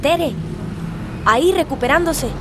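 Worried but calming neighbor lady, high voice, radio soap, slight car rumble. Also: measured pace. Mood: worried, reassuring. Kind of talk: radio drama acting.

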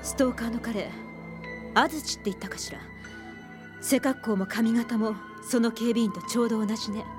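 An actress playing a calm, analytical psychologist who explains things in a measured way in a radio drama. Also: female voice, fairly high pitch, lightly acted. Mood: explaining. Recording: studio radio drama with faint music.

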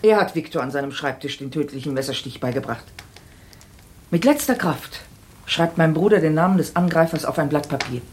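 A woman's voice: sits low, animated, brisk, slight background noise. Assertive, precise lady doctor. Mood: accusing, precise. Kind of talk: German radio crime drama acting.

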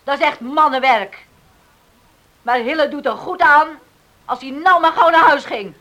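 A woman's voice: high-pitched, unhurried, fairly bright tone, muffled recording. An actress playing a strong-willed, outspoken farm widow and mother in a radio drama, teasing and defiant. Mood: mocking.

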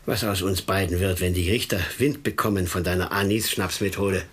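A man playing a concerned, sceptical police superior in a radio crime drama, questioning and expressive in his delivery. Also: low voice, fairly quick, breathy edge. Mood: admonishing.

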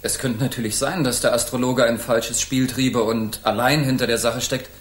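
A stern, anxious knight captain, played by a man in a radio drama for children. He has a medium-pitched voice and speaks in formal, old-fashioned courtly phrases. The sound is roomy. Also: steady tone, normal speed, lightly acted. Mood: grave, suspicious. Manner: formal, archaic courtly diction.

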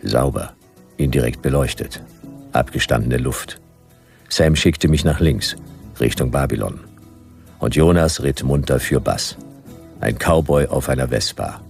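A man's voice: rumbling low, measured pace, vocal fry, pauses often, a little mellow, faint music behind, full character voice. A man playing a hard-boiled private detective, narrating a radio play in dry, clipped first-person sentences. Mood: dry, wry.

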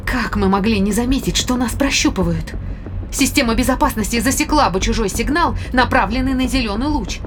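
A woman's voice: a little high, brisk, background noise, close to an everyday voice. A woman playing a sceptical, demanding spaceship crew member in an audio drama, questioning with precision. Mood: sceptical, challenging.

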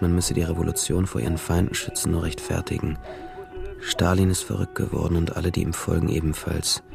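An actor playing a cool, laconic private detective in a radio crime drama, understated and probing. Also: male voice, low-pitched, vocal fry.

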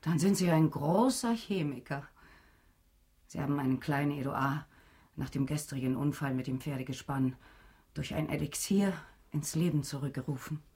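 An actress playing a refined, guarded society lady in a German radio drama, hesitant and breathy, with veiled eagerness. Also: low-pitched, normal speed, warm tone. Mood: intrigued.

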